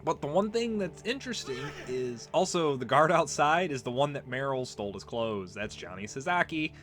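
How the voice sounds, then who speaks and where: A man with a mid-pitched voice chats through a video game as a let's player. He rambles casually as he explains the lore, with faint music behind him. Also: lively intonation, moderate pace, fairly bright tone. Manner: rambling, conversational. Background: game music and audio.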